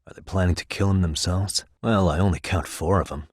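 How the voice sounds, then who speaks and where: Dogged game detective: deep voice, slightly husky, wry and terse, very dry close recording. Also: male voice, steady tone, fairly quick, no room sound. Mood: intent, serious. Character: determined, streetwise male detective, dogged and wry. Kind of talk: scripted video game dialogue.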